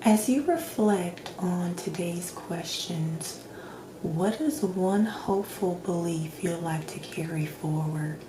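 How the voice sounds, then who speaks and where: A woman speaking softly, at times in a whisper, in a gentle, reassuring ASMR roleplay as a doctor questioning a patient. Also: on the low side, slow pace. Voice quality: soft, partly whispered. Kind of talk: soft-spoken ASMR roleplay. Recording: roomy echo, clear background noise.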